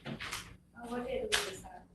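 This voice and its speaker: A woman's voice: a little high, fairly even intonation, normal speed, a bit bright. Lady answering in court, really echoey and noisy, kinda far off. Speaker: member of the public answering a judge in court. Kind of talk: short spoken answers in court. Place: indoor courtroom. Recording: distant, very echoey courtroom with noise.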